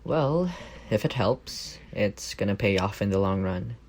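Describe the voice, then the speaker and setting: A man's voice: on the low side, animated, measured pace, darkish tone, slight background noise. A young man playing an affectionate, teasing roommate with a secret crush in a casual, intimate ASMR roleplay. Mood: teasing, reassuring. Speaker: voice actor in audio roleplay.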